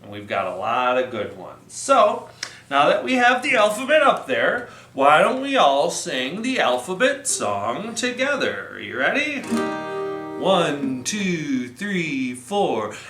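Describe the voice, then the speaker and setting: A man's voice: on the high side, very animated, takes it slowly, pushed and bright, faint music behind. A man hosting storytime for young children, encouraging and playful, giving simple, repeated instructions. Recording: roomy, echoing sound.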